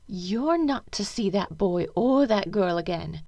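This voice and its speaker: A woman's voice: medium pitch, animated, unhurried, gravelly, warm tone, no echo, lo-fi sound. A woman playing a stern, anxious upper-class mother in an audio drama, imperious and pleading. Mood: stern, urgent. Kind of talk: fan audio drama acting.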